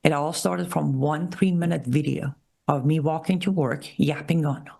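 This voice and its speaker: A woman with an Iranian accent hosting a political commentary show, speaking emphatically and repeating key phrases slowly. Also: deep voice, gravelly, warm tone, husky. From Iran. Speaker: show host.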